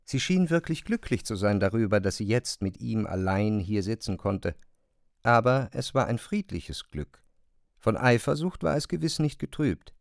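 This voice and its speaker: A man narrating a literary radio play in measured, precisely phrased sentences. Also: medium pitch, gravelly, no room sound, clean studio sound.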